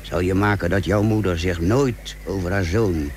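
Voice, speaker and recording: A man playing a stern but loving father in a Dutch radio play, gruff and solemn as he admonishes. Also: low voice, normal speed, darkish tone, natural voice. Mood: solemn, tender. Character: stern but loving father sending his son to sea. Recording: noisy old radio play recording.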